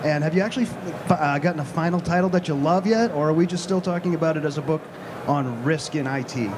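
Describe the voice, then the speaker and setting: A man's voice: on the high side, fast talker, noisy background. Hesitant man on a conference panel. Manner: hesitant, many fillers. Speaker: co-author on a panel. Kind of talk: panel discussion. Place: large conference stage.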